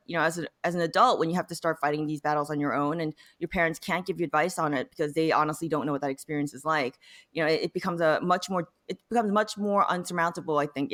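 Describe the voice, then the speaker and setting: A woman's voice: low voice, a bit bright. A woman telling her own story as an interview guest, speaking informally and conversationally, with many "you know"s and "like"s. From born in South Korea, later immigrated to the United States. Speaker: interview guest, data science professional.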